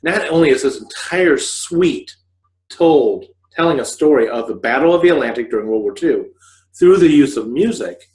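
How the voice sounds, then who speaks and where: A man's voice: medium pitch, a little mellow. A man in his forties giving an informal, rambling instructional talk to camera, often correcting himself as he explains. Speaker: host of a scriptwriting video podcast, fiction writer. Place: living room couch, indoors. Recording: roomy, echoey home recording.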